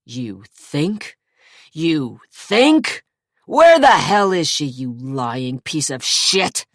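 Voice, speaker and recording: A woman reading an audiobook, voicing an injured younger brother who shouts accusations. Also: low-pitched, very animated, extremely slow, no room sound, clean studio sound, exaggerated voice. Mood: furious.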